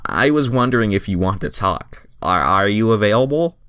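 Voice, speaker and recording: A man's voice: lowish voice, expressive, normal speed, fairly bright tone, clean tone, dry recording, studio quality, pitched above the performer's own voice. A man voicing a hesitant teenage boy superhero in an unsteady, amateur character voice for a fan story. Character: edgy scrawny teenage boy hero, hesitant.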